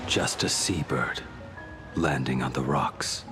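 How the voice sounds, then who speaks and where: A man's voice: a bass voice, takes it slowly. A man voicing a weary, stoic swordsman in a video game, terse and grave, with music behind. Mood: grave, resigned.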